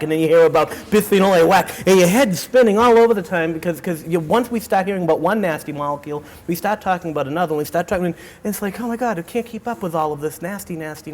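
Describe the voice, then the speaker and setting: A middle-aged man with a Boston accent, giving a keynote talk to students in an animated way, often repeating words and restarting sentences. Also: fairly high pitch. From Boston, United States. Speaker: keynote speaker. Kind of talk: energetic lecture to students. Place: auditorium.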